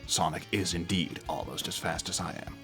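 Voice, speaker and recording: A man's voice: low-pitched, level tone, a bit bright, slightly rough, faint music behind, full character voice. Man voicing a brooding, terse cartoon hedgehog. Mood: grudging. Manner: terse, grudging, halting. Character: brooding, proud, awkward male cartoon hedgehog. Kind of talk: fan-made cartoon dub.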